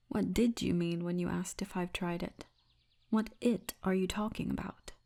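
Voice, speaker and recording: A woman voicing a friendly female orc tour guide in an intimate ASMR roleplay, speaking softly and often breathily. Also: mid-range voice. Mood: teasing, wistful. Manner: soft, intimate, unhurried storytelling, often breathy. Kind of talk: ASMR roleplay, addressed to the listener.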